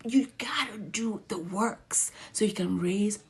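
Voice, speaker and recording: A woman with a gravelly, fry-tinged voice at a middle pitch. She speaks as a provider giving casual, earnest advice. She repeats her points and slips into half-whispered asides. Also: takes it slowly. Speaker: mental health provider.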